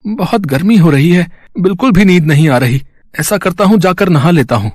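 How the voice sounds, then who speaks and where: A man voicing a bossy, complaining village farmer in an animated moral story. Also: high-pitched, moderate pace, husky, dry recording. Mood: grumbling.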